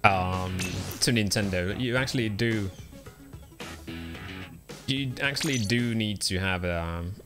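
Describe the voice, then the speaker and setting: Man, gaming streamer, rambling casual chat, fillers, lower voice, clear music underneath. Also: slow, long pauses. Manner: rambling, chatty, answers chat, frequent ums and uhs. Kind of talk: casual live-stream commentary while gaming. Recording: game music playing behind. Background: game music.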